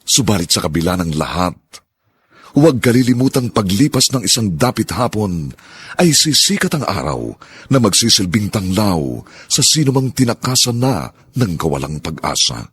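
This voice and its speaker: A man's voice: on the low side, sing-song, normal speed, fairly bright tone, husky. A man delivering a grand, solemn, poetic introduction to a radio drama in a scripted narration. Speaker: program narrator.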